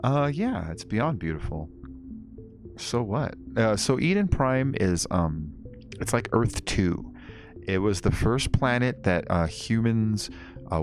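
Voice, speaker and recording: A young man giving relaxed, deliberately quiet commentary while streaming a game. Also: low voice. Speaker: gaming streamer. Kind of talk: casual let's-play commentary. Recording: game music in background.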